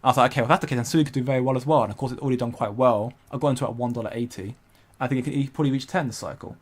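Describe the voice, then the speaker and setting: A man's voice: mid-range voice, very fast. A young man hosting a casual crypto livestream, rambling and hesitant with frequent restarts while he reads out viewer comments. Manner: rambling, hesitant, many restarts, laughs often.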